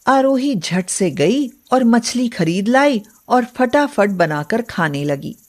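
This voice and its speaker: A woman's voice: fairly high pitch, animated, slow pace. A woman narrating a scripted moral story as a storyteller.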